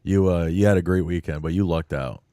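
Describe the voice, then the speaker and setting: A man's voice: deep voice, brisk, mellow, no echo, studio quality. A man co-hosting a podcast, chatting in a laid-back, joking way. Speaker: podcast co-host. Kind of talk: casual chat.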